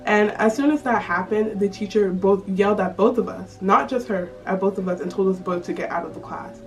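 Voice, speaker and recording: A woman's voice: mid-range voice, brisk. Teenage girl telling a story earnestly. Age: teens. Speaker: interviewee. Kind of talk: personal testimony. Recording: distant mic with faint music.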